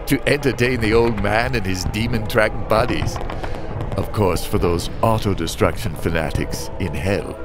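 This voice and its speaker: A man narrating as a weary, guilt-ridden driver father, in a slow, brooding storytelling manner. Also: lowish voice, close to an everyday voice. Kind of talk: narrated motion comic. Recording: over loud music score.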